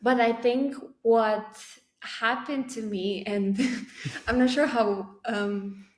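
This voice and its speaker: A woman whose first language is Romanian, speaking English as a podcast guest in a thoughtful way, with pauses and soft laughs at herself. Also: a little high, fairly even intonation. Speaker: poet and guest. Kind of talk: casual interview conversation. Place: Barcelona. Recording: roomy echo.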